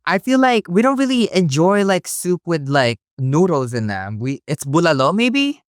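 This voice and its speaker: Playful young guy from the philippines, smooth voice, says like a lot. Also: fairly high pitch, sing-song, normal speed, no room sound, clean studio sound. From Philippines, raised in Hawaii. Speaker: guest. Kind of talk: casual chat.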